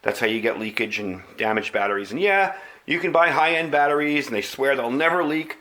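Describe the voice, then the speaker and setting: Bright-voiced man giving a casual review. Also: mid-range voice, expressive, fairly quick. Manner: plain-spoken, explanatory, conversational. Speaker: video channel host. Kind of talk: casual product review to camera.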